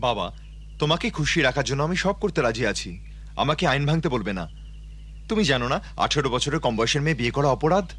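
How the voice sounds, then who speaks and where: A man's voice: mid-range voice, expressive, quick pace, a bit bright, breathy edge. A man playing a principled, polite young student son in a cartoon, reasoning earnestly. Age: young adult. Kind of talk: cartoon voice acting.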